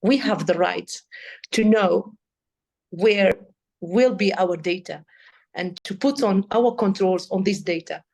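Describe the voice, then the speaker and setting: A woman with a Tunisian accent, a native Arabic speaker, answering interview questions as an expert in earnest, emphatic and halting non-native English. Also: medium pitch, gravelly, darkish tone, rough recording. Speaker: AI policy expert, podcast guest.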